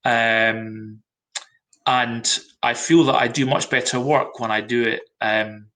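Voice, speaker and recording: A middle-aged Scottish man, a brand consultant, talking in a webinar at a measured pace with frequent ums. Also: mid-range voice, flat delivery, pushed and bright. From Scotland. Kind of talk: webinar talk.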